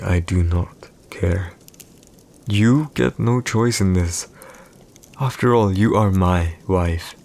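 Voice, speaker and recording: A man's voice: deep voice, darkish tone. A man playing a haughty, teasing villain emperor in an ASMR audio roleplay, with formal, condescending, old-fashioned diction. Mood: mocking, dominant.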